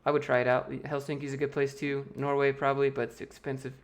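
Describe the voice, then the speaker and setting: A man's voice: mid-range voice, flat delivery, fast talker. American man, livestream host, casual Q&A, joking, offhand, home desk mic. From United States. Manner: loose, joking, reads chat questions aloud and answers offhand. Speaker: YouTube host answering chat.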